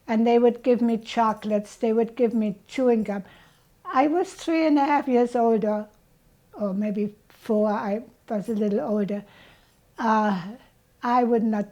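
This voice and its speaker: A woman, telling her childhood story as an interviewee in a slow, reflective way. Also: on the high side, mellow, low-quality recording. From Germany. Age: 80s. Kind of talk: reminiscing about childhood.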